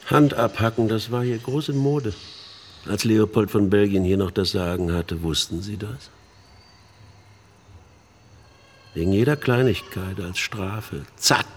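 An actor playing an embittered middle-aged man telling a haunted story in halting, emphatic phrases in a radio play. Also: low voice, slight background noise, lightly acted. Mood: grim, sardonic. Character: embittered older man haunted by his missionary sister's death. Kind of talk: dramatic monologue in a German radio play.

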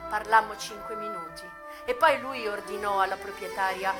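An actress performing a dramatic radio monologue as a worldly, passionate American madam recalling her youth, expressive and full of pauses. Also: mid-range voice, over music, lightly acted. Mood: intimate, storytelling.

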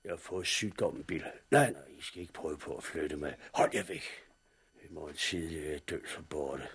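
An actor playing a weary companion in a 1960s radio drama, with a gravelly, raspy voice. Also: male voice, very deep voice, a bit bright, heavily acted. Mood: weak, resigned. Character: weary, ailing man, a loyal companion.

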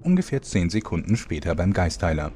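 A man's voice: deep voice, sing-song, brisk, background noise. A man playing a solemn storyteller, giving scripted narration for a fantasy parody radio play.

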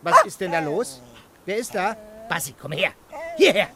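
An actor playing a gruff, agitated homeowner in a radio drama, calling out in short commands. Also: male voice, high-pitched, huge pitch swings, moderate pace, fairly bright tone, a little hiss or hum, heavily acted. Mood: alarmed, commanding. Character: gruff, agitated male homeowner shouting at his dog.

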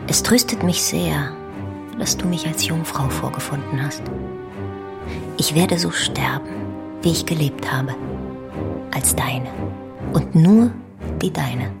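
An actress playing a devoted married woman and secret lover, speaking in a hushed, intimate half-whisper in a radio play. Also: low voice, slow, vocal fry, pauses often, over music, full character voice. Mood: tender, devoted.

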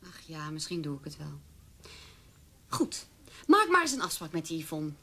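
A woman's voice: sits low, very animated, normal speed, fairly bright tone, breathy edge, full character voice. An actress in a radio play playing a sceptical, jealous female partner with a blunt, dry way of talking. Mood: resigned. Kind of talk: naturalistic radio play dialogue.

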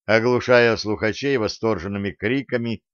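Male audiobook narrator, lowish voice, scripted first-person fiction, dry and slightly dull recording. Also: normal speed, smooth, no room sound.

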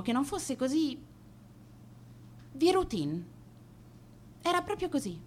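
A woman's voice: fairly high pitch, slight background noise. An actress playing a proud, manipulative teenage schoolgirl in a radio play monologue, sardonic, self-justifying and defiant. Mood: wistful regret.

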